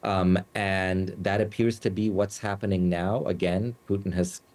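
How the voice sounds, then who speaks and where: A man from the United States, a journalist giving news interview answers, speaking haltingly with many fillers and restarts. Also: deep voice, steady tone, darkish tone. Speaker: journalist and Moscow bureau chief, guest.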